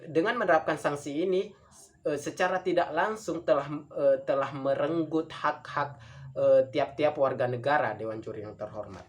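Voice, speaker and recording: A man gives a formal debate speech as a student speaker, earnest and halting, often repeating phrases for emphasis. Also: on the high side.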